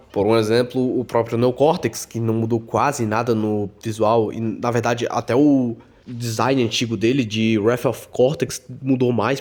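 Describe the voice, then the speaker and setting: A man's voice: mid-range voice, a little mellow. A young man with a Brazilian Portuguese accent, narrating a scripted video game review voice-over in a casual, slangy, joking way. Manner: casual and slangy, jokey asides.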